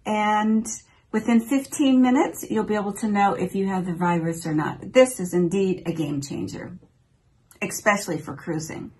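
A woman's voice: mid-range voice, moderate pace, a little mellow. An older woman presenting cruise news updates from her home office, in an upbeat, newsy manner, recorded at her desk. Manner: upbeat, newsy delivery reading from notes. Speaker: travel vlogger. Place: small home office, indoors.

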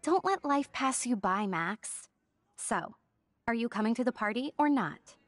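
Game character: bubbly teen cheerleader, peppy and encouraging, dry close studio sound. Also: female voice, on the high side, expressive, a little slow, no echo. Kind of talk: video game voice acting.

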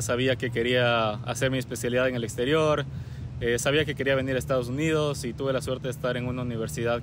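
Young guy answering questions calmly, lots of "eh", street noise outside. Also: medium pitch. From Ecuador. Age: young adult. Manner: calm, measured, frequent "eh" pauses. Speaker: guest, recent medical graduate. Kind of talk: interview answers. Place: outdoors on a university campus plaza with trees.

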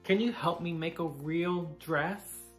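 A man voicing a shy, earnest young boy in a children's storybook, speaking softly and sincerely. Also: a little high, slow, soft background music, voice pushed up. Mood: upset. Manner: earnest, soft-spoken. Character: young boy Jacob, earnest, shy, proud of his dress.

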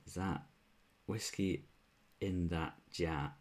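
A man's voice: low-pitched, steady tone, takes it slowly. A young man from Wales with a mild Welsh accent, hosting a casual whisky livestream at home, chatting and answering viewers' comments through a wired earphone mic. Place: small room at home, bottles on a shelf behind.

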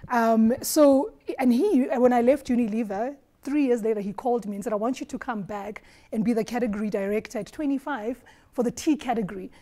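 A woman from Eswatini on a studio panel, telling stories in an animated way and laughing often, recorded on a lapel mic. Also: fairly high pitch, fairly quick. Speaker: marketing executive panelist. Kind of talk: roundtable discussion. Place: dark studio set at a round table.

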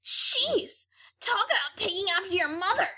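A woman voicing a cocky, blunt young female pegasus pony in an animated radio play. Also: extremely high pitch, normal speed, exaggerated voice. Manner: boastful, blunt, exasperated.

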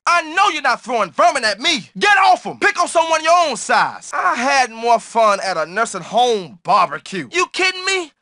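Casting: cocky young street-smart jock for a video game, bright punchy voice, very animated, slangy, dry studio sound. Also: male voice, extremely high pitch, normal speed, hardly any pauses, no room sound, exaggerated voice. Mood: taunting. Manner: slangy, brash trash talk. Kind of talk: video game character barks.

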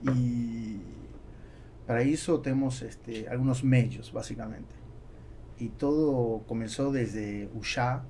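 A man in his forties with an Argentine accent, a native Spanish speaker, answering interview questions haltingly and mixing Spanish with Portuguese. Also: medium pitch, fairly even intonation, gravelly, dark tone, slight background noise. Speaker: podcast guest, business owner. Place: small acoustically treated podcast studio. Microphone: studio microphone on boom arm.

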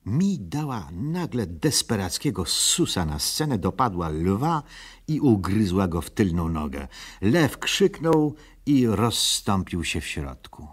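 A calm storybook narrator in a children's record play. His older voice is very deep and husky, telling the tale evenly. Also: takes it slowly, exaggerated voice.

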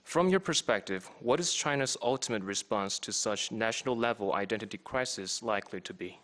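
Young student asking politely. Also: male voice, on the low side, a little fast, fairly bright tone. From Korea. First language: Korean. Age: young adult. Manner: polite, formal, reads a prepared question. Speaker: student questioner. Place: large auditorium, at a podium. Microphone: podium microphone.

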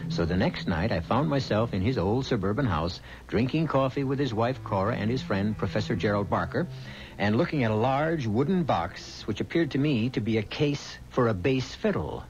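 An actor playing an intrigued first-person narrator in a radio drama, telling his story in a measured way. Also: mid-range voice, lively intonation, natural voice. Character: intrigued male narrator recounting a strange visit. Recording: dull old radio recording.

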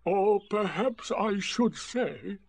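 A man voicing a gloomy, sarcastic old male donkey who speaks in dry, drawn-out remarks. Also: high voice, animated, vocal fry, voice pushed up, exaggerated voice. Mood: sardonic.

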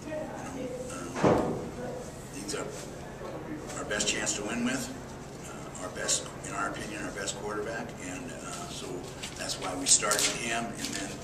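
An older man, a head football coach, speaking at a post-game press conference in a halting, reflective way with many fillers and restarts. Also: fairly low pitch, very noisy. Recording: echoey room from far off with loud ambience.

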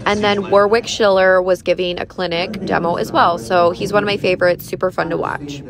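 A woman's voice: fairly low pitch, level tone, fairly quick, over music. A woman in her thirties narrating a casual vlog in a gushing, enthusiastic manner. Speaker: vlogger and horse owner.

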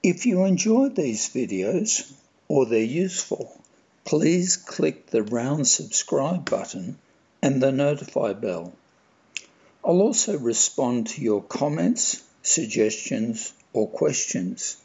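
A man reads a scripted host voice-over slowly. His voice is mellow and husky, with a lot of rise and fall. The recording is a little dull. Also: mid-range voice, big pitch swings. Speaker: channel host.

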